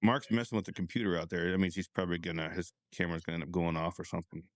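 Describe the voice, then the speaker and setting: Male podcast host, notably deep voice, dry close sound, casual and plain-spoken. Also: level tone, rapid-fire, no room sound. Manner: profane, informal. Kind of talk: casual chat.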